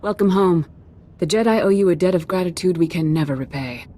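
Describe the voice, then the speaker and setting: Game council head, female actor: lowish voice, dignified, gracious, formal authority. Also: a little fast, warm tone, close to an everyday voice. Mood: relieved, gracious. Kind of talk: video game acting.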